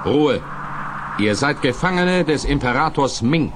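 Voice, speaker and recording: A man's voice: on the high side, lively intonation, a little slow. Stern, commanding male guard, noisy recording. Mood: commanding, threatening. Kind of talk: 1970s-80s German children's audio drama acting. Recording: noisy old audio drama recording.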